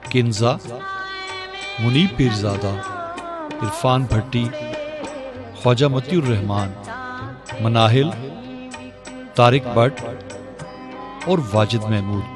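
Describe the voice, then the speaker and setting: Male radio announcer, medium pitch, slow, measured, formal scripted read, music underneath. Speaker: radio announcer reading closing credits.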